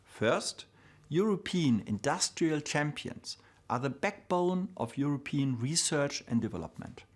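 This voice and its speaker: A man's voice: a little high, lively intonation. Man delivering formal prepared corporate remarks. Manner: formal, measured, deliberate. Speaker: corporate executive giving opening remarks. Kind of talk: read prepared speech.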